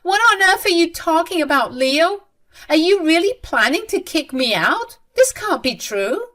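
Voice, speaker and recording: A woman's voice: a little high, moderate pace, a bit bright, pitched above the performer's own voice, close to an everyday voice. A woman voicing an irritated, indignant middle-aged mother who exclaims and protests her innocence in an audio story. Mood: shocked, indignant.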